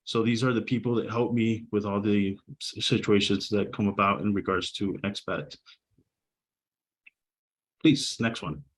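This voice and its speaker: Man, lowish pitch, lawyer presenter, informal professional talk. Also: fairly even intonation, unhurried, pauses often.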